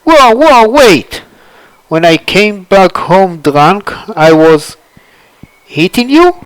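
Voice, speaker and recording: A man doing a baffled young man who speaks slowly, in a clear, smooth voice. He sounds defensive and lost, and his words come out dry and close. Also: fairly high pitch, lively intonation, no echo, poor audio. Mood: shocked. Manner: bewildered, defensive.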